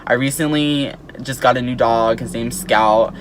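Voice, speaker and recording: A man's voice: medium pitch, fairly even intonation, unhurried, slight background noise, low-quality recording. An eighteen-year-old man chatting casually to his viewers as a YouTuber, peppering his talk with "like" and "um". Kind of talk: casual vlog chat to camera.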